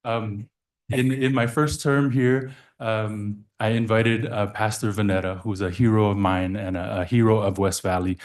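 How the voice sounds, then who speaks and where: A man whose first language is Samoan, a city council member giving a heartfelt farewell speech, halting and full of fillers and restarts. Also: fairly low pitch. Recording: echoey council chamber.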